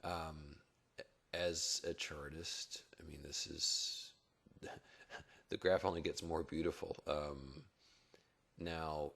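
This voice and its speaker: A man with an extremely low voice, full of creak and still rough from early morning. He talks casually through market charts as a blogger would, pausing and reflecting as he thinks aloud. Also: flat delivery, a bit bright. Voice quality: rough, gravelly, husky. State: rough early-morning voice, not yet warmed up. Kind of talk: casual unscripted market commentary over charts.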